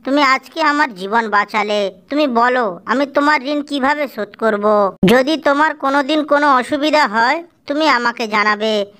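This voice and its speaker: A grateful, kindly female serpent, voiced by a woman for a cartoon. She speaks steadily and reassuringly, in a high, bright, smooth voice. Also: normal speed. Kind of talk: cartoon voice acting.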